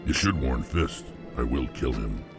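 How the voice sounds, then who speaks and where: An enormous alien warrior from a video game, speaks in an extremely low register. The delivery is slow, heavy and full of gravel. He is blunt and menacing, never hurried. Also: expressive, over music, exaggerated voice. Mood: cold menace. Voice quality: gravelly, rumbling. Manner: blunt, unhurried, threatening. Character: huge menacing male alien mercenary warrior.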